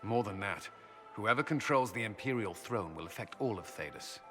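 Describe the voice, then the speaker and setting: An actor playing a blunt, wary military commander in a video game, speaking firmly and directly. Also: male voice, lowish voice, lively intonation, normal speed, music in the background, lightly acted. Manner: direct, firm. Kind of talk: video game cutscene acting. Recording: long hall reverb.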